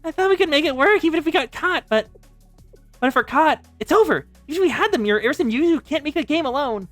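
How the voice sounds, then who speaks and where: Woman putting on an excitable, high-pitched schoolgirl. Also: fast, no room sound, faint music behind. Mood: panicked. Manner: high, exclamatory outbursts. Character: excitable, desperate schoolgirl game-maker, loyal and emotional.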